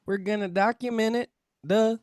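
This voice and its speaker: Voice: medium pitch, fairly even intonation, normal speed, a little mellow, clear, resonant tone, dry recording, clean studio sound, close to an everyday voice. A performer in a table read playing Hank, a defensive, paranoid male amateur UFO researcher who speaks emphatically and stammers. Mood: earnest, self-important. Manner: stammering, emphatic, self-serious. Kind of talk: table read of a comedy script.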